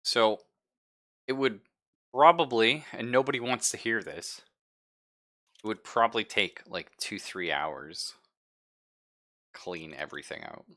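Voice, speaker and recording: A man acting as game master in a tabletop roleplaying game, describing things conversationally and haltingly as he thinks aloud. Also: fairly low pitch, a bit bright. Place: live-streamed game session.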